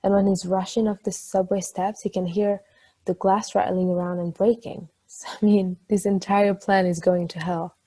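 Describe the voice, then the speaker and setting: A woman playing a chatty partner in a table read, telling an anecdote in a rambling, conversational way with a slightly husky voice. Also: mid-range voice, level tone, normal speed, mellow, natural voice. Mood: amused storytelling.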